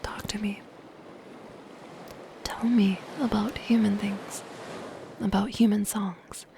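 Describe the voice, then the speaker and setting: A woman voicing a soft-spoken, possessive and lonely siren in an ASMR roleplay, in a breathy, gentle, intimate whisper. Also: mid-range voice, little pitch movement. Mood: softly possessive. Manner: slow, gentle, intimate delivery. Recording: close whisper under ocean surf noise. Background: ocean waves and surf.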